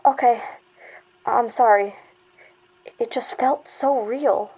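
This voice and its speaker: A woman's voice: a little high, slow pace, darkish tone, a little hiss or hum, poor audio. An actress playing an anxious young British woman in a naturalistic audio drama. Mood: shaken, pleading. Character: anxious young woman doubting her own sanity.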